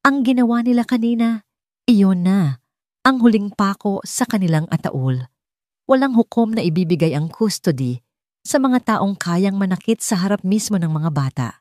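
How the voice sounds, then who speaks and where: A woman's voice: medium pitch, lively intonation, moderate pace, a little mellow, dry recording, clean studio sound, close to an everyday voice. A woman with a Filipino accent voicing a serious, protective billionaire businessman, earnest and resolute, in an audiobook romance.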